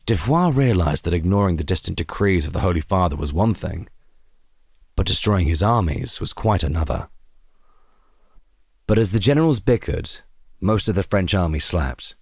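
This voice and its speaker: A male narrator reads a dramatic scripted tale. His voice is very low, and it is recorded dry, with hardly any room sound. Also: animated, normal speed, pauses often, a little mellow, slightly rough, dry recording.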